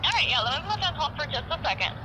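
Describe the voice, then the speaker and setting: Lady dispatcher on the phone, talks really quick, short polite replies, noisy line with cars. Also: sits high, animated. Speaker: police dispatch call taker. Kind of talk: brief, polite phone service talk. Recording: noisy phone line, outdoor traffic noise.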